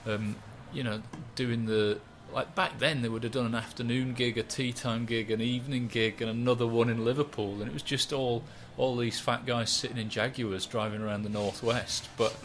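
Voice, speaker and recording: A man, a stand-up comedian chatting in a relaxed, rambling, self-mocking way. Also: mid-range voice, moderate pace, hardly any pauses, a little hiss or hum. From West Yorkshire, England. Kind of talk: relaxed interview chat.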